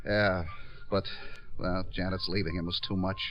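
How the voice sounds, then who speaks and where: An American actor playing an earnest writer-director in a 1950s radio drama, pleading and insistent with a halting delivery. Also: male voice, sits low, level tone, dull audio, faint music behind, natural voice. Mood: agitated, defensive. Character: earnest, pleading male writer-director, loyally defending his star.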